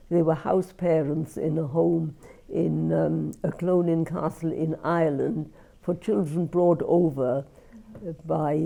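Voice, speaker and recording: Gravelly older Welsh woman, low voice. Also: rough recording. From Wales. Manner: halting, repeats words and restarts, warm about family. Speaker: interviewee. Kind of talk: reminiscing interview.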